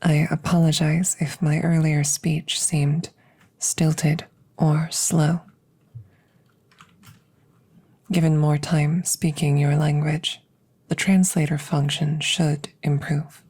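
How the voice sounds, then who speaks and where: A woman playing a calm, curious alien scientist in an ASMR roleplay, speaking softly and close up in measured, formal, clinical phrases. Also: low voice, full character voice. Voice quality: soft, breathy close whispering. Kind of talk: whispered ASMR audio roleplay. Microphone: close-up microphone.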